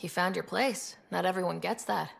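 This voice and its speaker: Creaky-voiced, composed expedition leader. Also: female voice, on the low side, normal speed. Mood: reflective. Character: thoughtful young female space expedition leader, composed. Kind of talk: video game cutscene dialogue.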